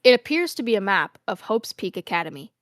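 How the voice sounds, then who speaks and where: A woman in an amateur anime fan dub playing an aloof, composed teenage girl who speaks in a measured, curt way. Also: mid-range voice, very animated, fairly bright tone, dry recording, studio quality. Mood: cool, detached. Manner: measured, curt, matter-of-fact.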